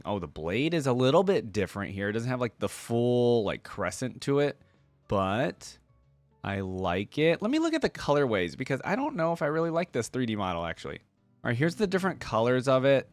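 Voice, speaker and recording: A man's voice: mid-range voice, sing-song, fairly quick, no room sound, soft background music. A man hosting a reaction video, giving informal, enthusiastic commentary and thinking aloud as he reads and looks things over. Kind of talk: casual reaction commentary with some reading aloud.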